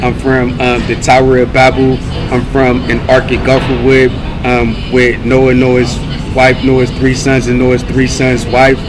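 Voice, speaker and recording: A man from California in a rambling monologue, halting with many fillers, repeating phrases and listing things one by one. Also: mid-range voice. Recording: steady background noise.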